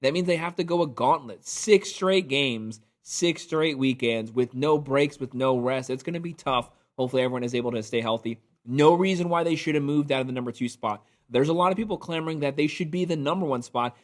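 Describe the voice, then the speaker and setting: A man hosting his own college football channel, giving opinionated, rapid-fire reactions to the week's rankings while his voice is still a little off from being sick. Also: mid-range voice. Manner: energetic, opinionated, rapid-fire sports talk. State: recovering from illness, voice a little off. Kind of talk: solo poll reaction commentary.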